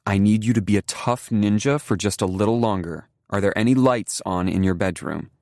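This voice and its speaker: Protective, firm woman in a crisis, voiced by a man, soft-spoken but urgent, dry booth sound. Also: lowish voice, normal speed, no room sound, pitched above the performer's own voice, close to an everyday voice. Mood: soothing, urgent. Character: protective determined adult woman, calm under pressure. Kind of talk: audiobook character voice.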